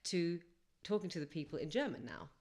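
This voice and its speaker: A woman's voice: fairly low pitch, animated, a little fast, darkish tone. A young woman, a singer-songwriter guest, chatting reflectively and rambling a little on a podcast interview. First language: English first language. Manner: chatty, reflective, rambling with fillers and self-corrections. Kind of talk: casual podcast interview storytelling.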